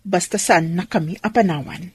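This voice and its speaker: A woman's voice: lowish voice, normal speed, a little mellow, slightly rough. A woman playing a long-suffering, anxious wife and mother in a radio drama, speaking earnestly and pleadingly. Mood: troubled.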